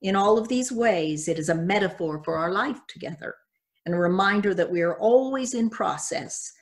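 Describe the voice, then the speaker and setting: An older woman pastor giving a measured, reflective sermon, reading from notes at a church pulpit. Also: mid-range voice.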